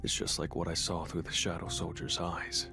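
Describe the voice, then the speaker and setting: A young monster hunter from a video game, with a low-pitched voice. He speaks tersely and matter-of-factly, like someone used to giving orders. Music plays clearly behind him. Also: little pitch movement, moderate pace. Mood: cool, composed. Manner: terse, composed, matter-of-fact. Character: cool, decisive young male hunter commanding shadow soldiers. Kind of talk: scripted dramatic game acting.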